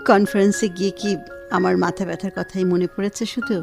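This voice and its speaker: A woman acting a gentle, grateful, affectionate wife who is convalescing, in a Bengali radio drama. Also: mid-range voice, flat delivery, a little fast, creaky, music in the background, close to an everyday voice.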